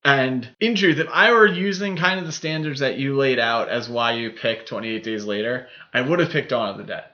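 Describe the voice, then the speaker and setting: A man's voice: mid-range voice, normal speed, bright, forceful tone, dull audio. A man co-hosting a podcast, casually discussing films in a rambling, explanatory way. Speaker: podcast co-host.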